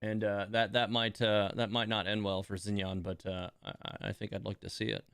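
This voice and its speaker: A man giving casual live game commentary as a streamer, musing and thinking out loud. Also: lowish voice, flat delivery, fairly quick, vocal fry, slight background noise. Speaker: game livestreamer.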